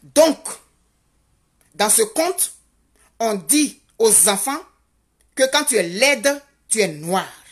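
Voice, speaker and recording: Husky woman, slow and fervent. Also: on the high side, expressive. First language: Godié. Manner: rhetorical questions, insistent repetition, rising to fervent. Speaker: commentator. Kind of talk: impassioned social commentary monologue. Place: Canada.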